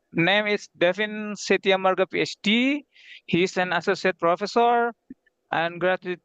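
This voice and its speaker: Conference moderator, male. High-pitched, halting non-native English, formal; dry but dull lo-fi call sound. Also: a bit bright, smooth, dry recording. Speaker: session moderator. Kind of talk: formal online conference introduction. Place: online video call. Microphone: video-call microphone. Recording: dull, lo-fi video-call audio.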